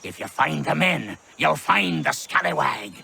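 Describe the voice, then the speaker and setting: Game role: sly old salt, very creaky, swooping pitch, teasing pirate talk. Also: male voice, big pitch swings, a little slow, pushed and bright, a little hiss or hum, heavily acted. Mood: sly, boastful. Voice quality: gravelly. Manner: teasing, salty pirate speech. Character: cackling, sly old salty sailor hoarding trinkets.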